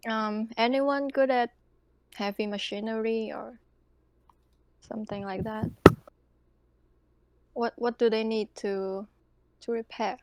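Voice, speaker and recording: A woman playing a tabletop roleplaying game in a casual, halting, hesitant way. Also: fairly high pitch, steady tone, a little mellow, lo-fi sound, a little hiss or hum.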